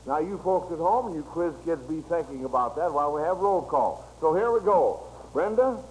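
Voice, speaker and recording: American guy hosting an old time radio quiz, folksy, highish voice, bit of hiss. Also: animated, moderate pace, darkish tone. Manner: folksy, addresses listeners as friends. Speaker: quiz show host. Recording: noisy vintage radio broadcast recording.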